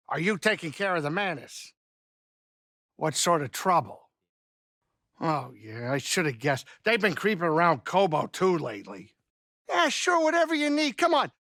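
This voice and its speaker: A man voicing a gruff but caring small alien saloon keeper in a video game, with a blustery, folksy, wisecracking delivery. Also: high voice, sharp tone, no echo, studio quality, full character voice. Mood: excited, blustery. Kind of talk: video game character dialogue.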